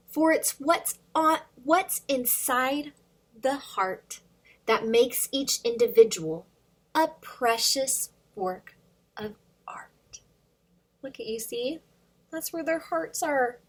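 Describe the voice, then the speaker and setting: A woman's voice: high-pitched, husky. A woman hosting storytime for young children, reading aloud expressively with sing-song emphasis and addressing questions to young listeners.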